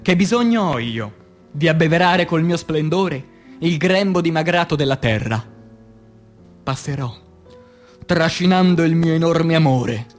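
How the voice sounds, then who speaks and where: Man, high voice, dramatic poetry recital, declamatory, intense, pausing for effect, faint music behind. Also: animated. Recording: voice over music bed.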